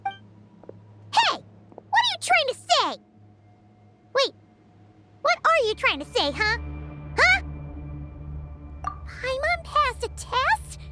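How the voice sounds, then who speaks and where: Tiny fairy sidekick, extremely high squeak, childlike, lively swoops, game dialogue, loud music. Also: female voice, extremely slow, pauses often, exaggerated voice. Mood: indignant, surprised. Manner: chirpy, exclamatory, refers to herself by name. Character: tiny excitable floating girl fairy companion. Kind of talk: video game voice acting.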